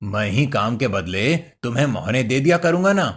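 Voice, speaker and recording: A man's voice: medium pitch, big pitch swings, moderate pace. A man voicing a sly devil in a cartoon story, coaxing and falsely friendly. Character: sly hoofed male devil posing as generous employer. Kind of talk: Hindi cartoon story voice acting.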